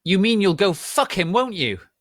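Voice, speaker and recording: A man's voice: sits high, very animated, moderate pace, no echo, studio quality, pitched above the performer's own voice. A man voicing a sullen, foul-mouthed teenage goth girl with aggressive, overwrought outbursts in a melodramatic story. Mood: furious.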